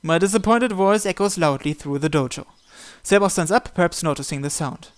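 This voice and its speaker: Higher-voiced man narrating a visual novel. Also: fairly quick. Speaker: channel host reading visual novel text aloud. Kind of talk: dramatic first-person scripted narration.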